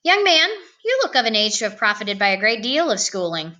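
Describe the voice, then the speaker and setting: A woman reading a storybook, voicing a pompous, stern male school superintendent with a booming, questioning manner. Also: sing-song, a little fast, pushed and bright, lo-fi sound. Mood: probing, suspicious. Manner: booming, barking, interrogating.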